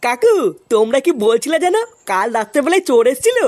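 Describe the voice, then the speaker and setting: A woman's voice: sits high, very animated, fast talker, darkish tone, dry recording. A woman playing an earnest, superstitious male village gossip in comic cartoon dialogue. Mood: curious.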